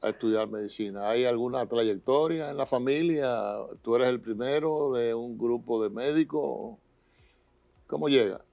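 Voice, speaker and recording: A man co-hosting a health talk show, introducing topics in a steady, listing way. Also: mid-range voice, moderate pace, creaky. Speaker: co-host, physician.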